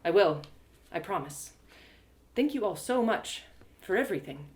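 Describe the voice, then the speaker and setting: Young fantasy heroine, played by a woman, eager, earnest and wholesome, very animated delivery for audio drama. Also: medium pitch, moderate pace, natural voice. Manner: earnest, quaint exclamations, hesitant when nervous.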